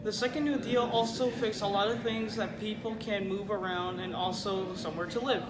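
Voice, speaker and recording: A woman giving scripted news commentary, halting and repeating phrases. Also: medium pitch, steady tone, background noise. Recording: echoey room with crowd chatter.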